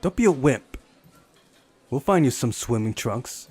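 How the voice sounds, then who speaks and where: A man's voice: medium pitch, expressive, a little slow, a little mellow, husky. A man voicing a cocky, casual teenage girl with a blunt, teasing manner in a visual novel.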